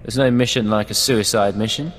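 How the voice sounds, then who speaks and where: An actor playing a cool, wry imperial secret agent in a video game, dry and understated. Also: male voice, on the low side, steady tone, a little fast, a little hiss or hum, lightly acted. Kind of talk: video game cutscene acting. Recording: reverberant room, music underneath.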